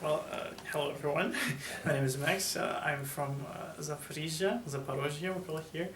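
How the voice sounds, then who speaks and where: A man's voice: on the high side, moderate pace, fairly bright tone. Hesitant young man, distant and roomy. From born in Russia, raised in Ukraine. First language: not English. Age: 20s. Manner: hesitant, many filler sounds and restarts. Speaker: interviewee. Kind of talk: halting conversational answers in a second language. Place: hotel room, seated, indoors. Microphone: camera mic from across the room. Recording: roomy, distant mic, faint room hum.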